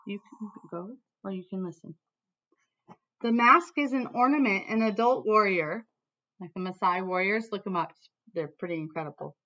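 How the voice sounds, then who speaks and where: A woman teacher reading a storybook aloud to students in an animated, emphatic way, with casual asides. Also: mid-range voice, moderate pace, dull audio.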